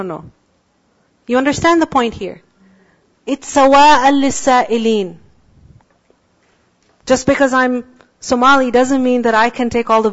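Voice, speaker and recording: Woman teaching Quranic verses. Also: a little high. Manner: asks the class questions and answers them herself; drawn-out Arabic recitation. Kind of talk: Quran tafsir lecture. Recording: dull lo-fi recording.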